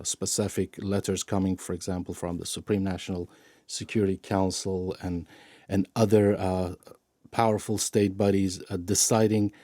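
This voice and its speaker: A man answering interview questions as a media analyst, halting with frequent restarts and fillers. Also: deep voice, warm tone, no room sound, clean studio sound. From Iran.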